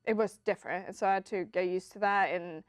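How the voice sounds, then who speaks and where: A woman's voice: mid-range voice, fairly even intonation, fast talker, smooth, no room sound, clean studio sound. A woman in her twenties from Colombia, a native Spanish speaker who grew up partly in Florida, answering interview questions in an informal, conversational way. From Colombia, moved to Florida as a child. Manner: informal, frequent "like" and "you know". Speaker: interview guest, venture capitalist.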